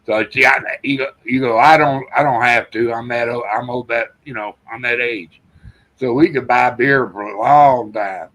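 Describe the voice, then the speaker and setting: An older man with a drawl rambles through folksy stories in a casual live chat, acting out the other people's lines as he goes. His voice sits at an ordinary middle pitch. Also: fairly even intonation, moderate pace, fairly bright tone, poor audio. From Texas. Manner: rambling storyteller with folksy quoted dialogue.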